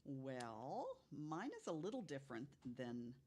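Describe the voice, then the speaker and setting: A woman with a low-pitched voice talks casually as a guest on a podcast panel. She tells stories conversationally and speaks with emphasis, often repeating words to agree. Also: sing-song, a little slow, darkish tone, husky.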